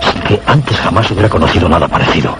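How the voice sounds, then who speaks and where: An actor playing an impressionable, uneasy narrator-protagonist in a Spanish radio suspense drama, with a Castilian accent and an earnest manner. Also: male voice, deep voice, expressive, quick pace. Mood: absorbed recounting. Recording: heavily noisy radio drama recording.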